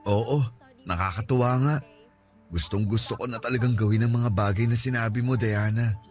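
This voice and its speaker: A man's voice: fairly low pitch, fairly even intonation, normal speed, natural voice. A man playing a devoted, protective young boyfriend in a sentimental radio romance drama, earnest and emotional. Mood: earnest, reassuring. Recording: music under the voice.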